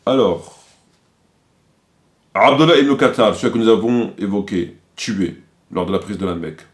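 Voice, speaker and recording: A man's voice: fairly low pitch, normal speed. A man delivering critical commentary, quoting sources didactically and repeating his points for emphasis.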